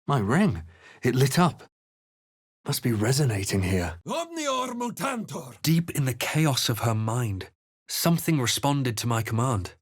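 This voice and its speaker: A man voicing a grave, watchful fantasy hero in a video game, with measured, dramatic delivery. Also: mid-range voice, sing-song, slightly rough, no room sound, clean studio sound. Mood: wary, observant, then incanting. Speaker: video game player character voice actor. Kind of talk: scripted game narration and character lines.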